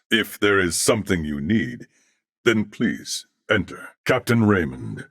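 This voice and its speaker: Slow, gravelly, noble male cyborg. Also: fairly low pitch, fairly bright tone, dry recording, clean studio sound, full character voice. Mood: earnest, diplomatic. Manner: formal, measured, solemn diction. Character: armored cyborg humanoid, male, courteous, earnest and noble. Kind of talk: video game character voice acting.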